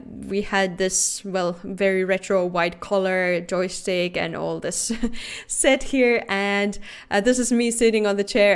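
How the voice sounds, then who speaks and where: A woman's voice: medium pitch, clean tone. A woman from Finland, a native Finnish speaker, giving a prepared conference talk in an earnest way, with frequent fillers and restarts.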